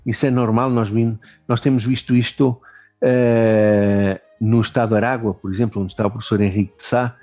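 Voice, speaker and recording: Dark-voiced male host, dull lo-fi audio. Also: lowish voice, level tone, fairly quick, faint music behind. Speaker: interviewer, host. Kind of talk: interview questions.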